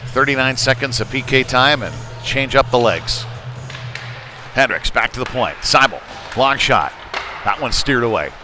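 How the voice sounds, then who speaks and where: A man's voice: mid-range voice, animated, moderate pace, music in the background. A man calling live play-by-play of a youth hockey game in a folksy, conversational way, with analytical asides. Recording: noisy rink ambience behind voice.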